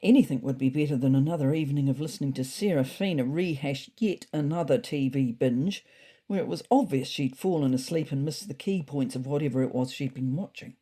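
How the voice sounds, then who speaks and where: A woman's voice: extremely low pitch, fairly quick, warm tone, husky. A woman voicing a thoughtful, slightly neurotic cat reading out a comic diary, dry and mildly exasperated. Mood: dry, wry. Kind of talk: scripted comic diary narration.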